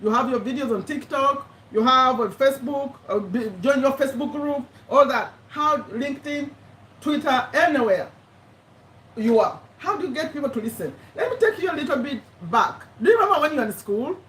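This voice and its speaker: A woman in her fifties hosts a live stream in a chatty, self-correcting way, laughing often. Her voice sits high. It is picked up from a distance in an echoey room with a faint hum behind it. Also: animated, normal speed, a bit bright. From Uganda. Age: 50s. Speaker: YouTube live host, business owner. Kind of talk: casual live-stream talk.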